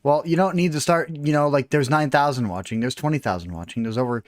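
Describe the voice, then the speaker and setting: A man's voice: a little high, fast, no echo. A man in his early twenties hosting a casual gaming livestream, chatty, laughing often and full of fillers. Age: early 20s. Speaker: game streamer host.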